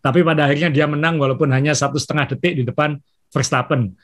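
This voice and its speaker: A man's voice: a little high, fairly even intonation, a bit bright. Man breaking down a race casually. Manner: measured, fills pauses with "eh". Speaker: podcast co-host, racing analyst.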